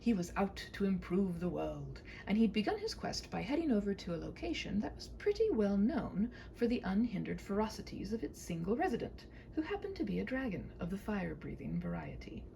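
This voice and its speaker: A woman's voice: medium pitch, big pitch swings, brisk, vocal fry, few pauses, warm tone, a little hiss or hum, rough recording. A woman reading a humorous story in a whimsical, mock-formal way, with wry asides.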